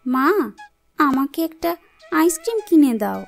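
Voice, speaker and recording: A young cartoon girl, voiced by a woman, very high and childlike. She speaks plaintively, whining and pleading, over soft music. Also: animated, a little slow, vocal fry, heavily acted. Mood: wishful, coaxing. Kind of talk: cartoon fairy-tale voice acting.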